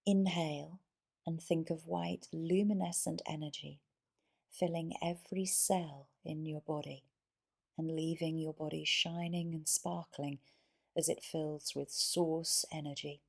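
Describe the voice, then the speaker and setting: A woman leading a guided yoga nidra meditation in a soothing, measured way, pausing between short phrases. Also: sits low, fairly even intonation, creaky, a little mellow.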